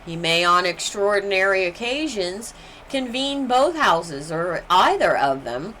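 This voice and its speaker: A woman reading educational material aloud as a presenter, in deliberate, enunciated short phrases. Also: mid-range voice, sing-song, pushed and bright, slight background noise, rough recording.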